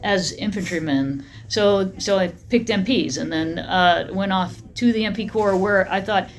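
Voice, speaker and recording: A middle-aged woman army general answering interview questions in a matter-of-fact, steady way. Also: mid-range voice, unhurried.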